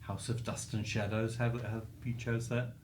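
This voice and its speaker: A man's voice: on the low side, flat delivery, normal speed, slight background noise. A man interviewing a guest in a casual literary conversation, putting questions in a tentative, understated way.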